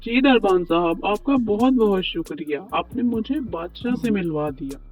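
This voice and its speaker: Man voicing a high-pitched poor tandoor baker. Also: lively intonation, moderate pace. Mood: grateful, puzzled. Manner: pleading, deferential, talks to himself. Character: poor, worried, humble male tandoor bread baker. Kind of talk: Urdu moral cartoon story acting. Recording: muffled lo-fi track with music behind.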